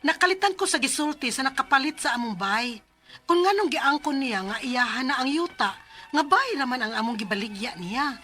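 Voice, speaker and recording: Earnest, high-voiced young daughter. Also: female voice, lively intonation, fairly quick, over music. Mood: troubled narration. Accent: Cebuano Filipino. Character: earnest, respectful young daughter telling her family's problem. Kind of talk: radio drama acting and first-person narration.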